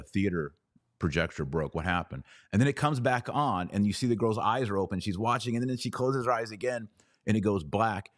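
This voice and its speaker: A man's voice: fairly low pitch, no echo, studio quality. A middle-aged man hosting a podcast, giving an earnest, casual review of a film he recommends, with small restarts. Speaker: podcast host. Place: in Texas.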